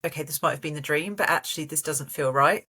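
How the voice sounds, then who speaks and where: Low husky woman hosting an interview. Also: quick pace, bright, forceful tone. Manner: encouraging, reflective questions. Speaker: interview host. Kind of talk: interview host.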